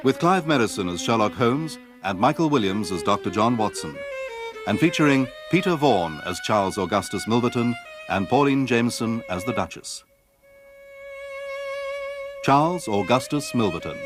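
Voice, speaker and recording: A man's voice: mid-range voice, animated, normal speed, close to an everyday voice. A man with a British accent reading a formal scripted announcement for a radio drama. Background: violin music.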